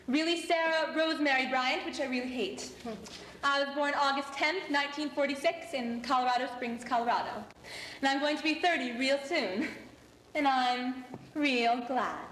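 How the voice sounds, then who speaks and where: A young woman voicing a brash, wisecracking dancer at an audition. Her voice is high and sassy, with the echo of a stage around it. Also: normal speed, a bit bright, slight background noise. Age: young adult. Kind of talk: school stage play. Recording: echoey stage.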